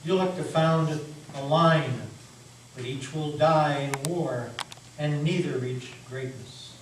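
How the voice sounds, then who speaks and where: Male reader as the devil, grim smooth-talking stranger for a stage reading, slightly high, ominous, in an echoey hall. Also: slow pace. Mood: coolly foretelling. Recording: echoey hall, distant mic, faint noise.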